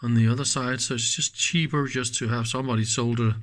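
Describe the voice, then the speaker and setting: A man giving casual, unscripted hands-on commentary as a teardown host, musing in a halting way and trailing off mid-thought. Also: medium pitch, steady tone. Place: indoors at a workbench.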